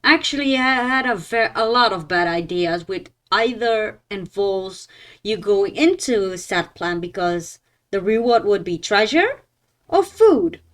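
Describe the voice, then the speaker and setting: A woman's voice: mid-range voice, animated, unhurried. A woman streamer giving casual, informal game commentary, often muttering to herself. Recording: game music behind the voice.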